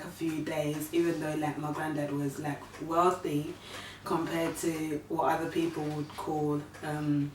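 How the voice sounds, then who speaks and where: Guest: Ugandan-born woman in her 30s, soft, hesitant, low voice, roomy distant recording. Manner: soft-spoken, hesitant, many fillers. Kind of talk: casual storytelling. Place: living room, sitting on a sofa. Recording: echoey room, far from mic, faint hiss.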